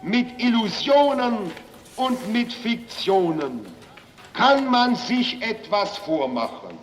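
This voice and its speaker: A man giving a slow, deliberate political speech in an old archive recording. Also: sits high, sing-song. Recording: dull echoey archive recording of a hall.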